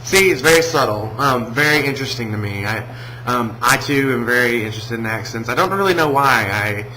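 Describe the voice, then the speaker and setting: A man from Alabama with a Southern American accent, talking casually in a video response, hesitant with many pauses and restarts. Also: mid-range voice, animated, pushed and bright, a little hiss or hum, poor audio. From Alabama, USA. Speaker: vlogger.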